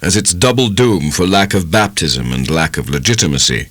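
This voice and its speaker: A man narrates classic fiction in a low voice with lively, swooping intonation. His storytelling is measured and dramatic. The sound is dry and close. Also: no echo. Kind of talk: dramatic literary audiobook narration.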